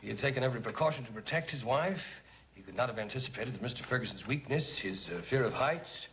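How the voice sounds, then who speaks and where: An actor in 1950s Hollywood film style, playing a formal coroner who speaks in a measured, judgmental manner. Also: male voice, medium pitch, expressive, fairly bright tone. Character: stern, formal coroner addressing a jury. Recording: dull old film soundtrack with noise.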